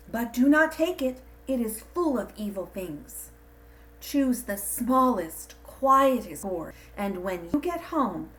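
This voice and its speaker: A woman's voice: fairly high pitch, low-quality recording. A woman voicing a kind, wise old village woman in a storybook, earnest and instructive.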